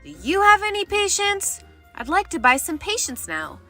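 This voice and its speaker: Woman acting little storybook frog, high and piercing, whiny, demanding, impatient, music behind. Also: huge pitch swings, normal speed, pushed and bright, full character voice. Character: impatient demanding little boy frog, shouting for everything now.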